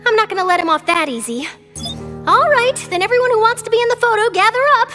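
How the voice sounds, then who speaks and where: A woman's voice: extremely high pitch, animated, quick pace, big-room sound, over music. An actress playing an eager, chatty, persistent young female news reporter in a video game, with a bubbly, pushy manner. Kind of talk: animated video game voice acting.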